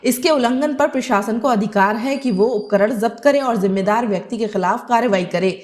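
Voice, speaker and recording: Female news narrator, fairly high voice, scripted report delivery. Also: fairly quick, a little mellow.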